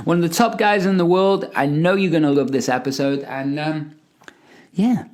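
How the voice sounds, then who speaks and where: A man's voice: on the high side, normal speed, a little mellow. A man hosting a podcast, talking with enthusiastic, hype-driven energy and calling his guest "mate". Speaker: podcast host. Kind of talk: energetic podcast intro and interview.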